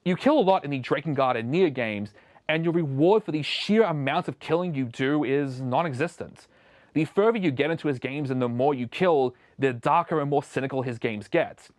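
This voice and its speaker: A man's voice: on the high side, expressive, normal speed, no echo. A man presenting a scripted opinion video essay as a show host, recorded as a close voice-over.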